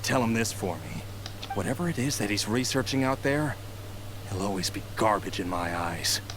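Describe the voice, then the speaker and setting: An actor playing a bitter, entitled grown son scheming for money in a video game, with a sneering, resentful manner. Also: male voice, lowish voice, expressive, moderate pace, background noise, lightly acted. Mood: dismissive, bitter. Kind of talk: English video game voice acting. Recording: music clearly behind.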